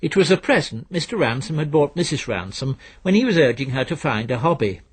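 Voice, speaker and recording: A man's voice: a little high, animated, fairly quick, slightly rough. A man giving scripted narration of a story as its narrator.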